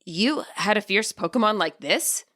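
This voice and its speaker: A woman's voice: mid-range voice, animated, moderate pace, pushed and bright. A woman voicing a stressed, weary male trainer in a story, with a curt and skeptical manner. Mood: startled, questioning.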